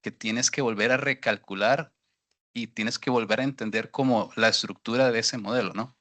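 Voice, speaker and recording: A man's voice: medium pitch, steady tone, moderate pace, bright, forceful tone. A man from Costa Rica asking a question at a workshop, hesitant and searching for words. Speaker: workshop participant. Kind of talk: audience question.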